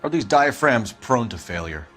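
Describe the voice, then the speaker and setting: A man's voice: medium pitch, sing-song, normal speed, fairly bright tone, soft background music. An American man playing an intense, accusing arson detective in a video game, with clipped, interrogating speech. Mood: probing, businesslike. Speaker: police detective. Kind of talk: video game dramatic acting.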